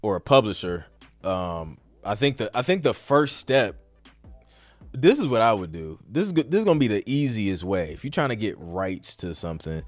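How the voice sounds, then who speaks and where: A man's voice: lowish voice, big pitch swings, normal speed, no room sound. A man hosting a casual question-and-answer podcast, talking informally with some slang and thinking aloud as he goes. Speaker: podcast host and music producer answering questions. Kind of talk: casual live Q&A talk.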